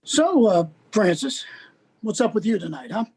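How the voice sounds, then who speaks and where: A woman's voice: mid-range voice, very animated, normal speed, a little mellow, slightly rough. A woman playing an awkwardly friendly, chatty male social worker in a dramatized script reading, hesitant and repeating words. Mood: coaxing.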